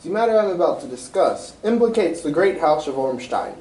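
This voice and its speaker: A man playing a proud, secretive king in a radio drama stage reading, with a formal, stately, halting manner. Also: fairly high pitch, expressive, a little mellow, close to an everyday voice. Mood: guarded, formal. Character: proud, secretive male Bohemian king in disguise. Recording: distant echoey stage recording.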